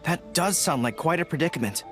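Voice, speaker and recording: Video game role: young male canine-eared general, sincere, courteous, animated intonation, music underneath. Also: low-pitched, fairly quick. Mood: thoughtful. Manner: earnest, polite, thinking aloud.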